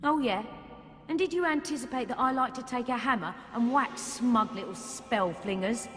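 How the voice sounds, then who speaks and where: A woman's voice: a little high, moderate pace, close to an everyday voice. An actress with a British accent playing a brash, blunt, sarcastic young warrior woman in a fantasy video game. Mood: sarcastic, scornful. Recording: long hall reverb, game music behind.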